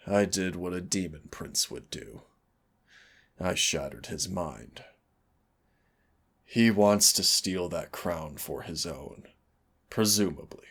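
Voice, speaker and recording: A man voicing a brooding demon prince who is tender toward his hunter lover, gravelly and husky, slow and intimate in a romantic audio roleplay. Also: deep voice, fairly bright tone, exaggerated voice. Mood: cold, controlled menace. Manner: slow, dramatic, intimate delivery. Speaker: voice actor.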